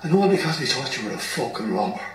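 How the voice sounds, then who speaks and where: A man's voice: medium pitch, very animated, fast talker, close to an everyday voice. Man voicing a wounded, hot-tempered Irish musician for radio drama, blunt, recorded at a distance. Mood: remorseful. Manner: blunt, emotional, sweary. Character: hot-tempered, foul-mouthed, wounded Irish bandmate Kevin. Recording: roomy, distant mic, background noise.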